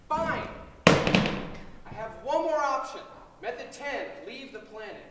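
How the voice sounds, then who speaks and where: Through heavy noise and echo, a deadpan survival expert acted by a woman speaks from far off. She announces rules flatly and with exasperation, as in a school stage comedy. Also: high-pitched, slow. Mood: exasperated. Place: school theater stage, distant microphone. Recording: very noisy echoey hall, distant.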